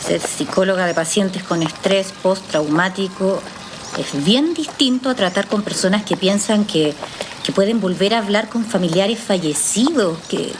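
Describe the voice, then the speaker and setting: An actress with a Chilean accent playing a skeptical, serious psychologist with a questioning manner in a naturalistic audio drama. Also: female voice, mid-range voice, unhurried, noisy background, lightly acted. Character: skeptical female trauma psychologist, probing and incredulous.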